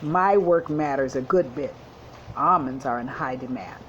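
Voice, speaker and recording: A woman voicing a small, proud female bumblebee in a children's storybook, shrill, instructive and encouraging. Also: unhurried, muffled recording, a little hiss or hum. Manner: instructive, reassuring. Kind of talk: children's storybook read-aloud.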